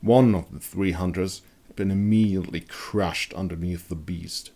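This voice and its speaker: A man giving a dramatic scripted narration of a dark fantasy story. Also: deep voice, steady tone, normal speed. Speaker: author and narrator.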